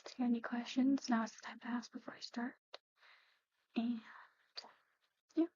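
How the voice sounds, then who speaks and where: Woman, whispery ASMR roleplay, mellow and soft, even pitch, gently explaining, slightly dull recording. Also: breathy edge, no echo. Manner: hushed, unhurried, gently explaining. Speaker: ASMR performer playing a medical practitioner.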